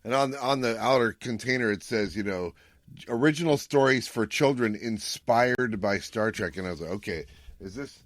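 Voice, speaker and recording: Low-voiced man hosting a podcast. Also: normal speed, no room sound. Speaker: podcast host. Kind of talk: casual podcast chat.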